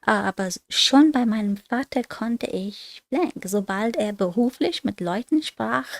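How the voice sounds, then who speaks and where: A woman's voice: fairly high pitch, animated, a little slow, creaky, no room sound. Woman thinking aloud through German exercises. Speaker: language learner studying on a livestream. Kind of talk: casual self-study stream, reading exercises aloud.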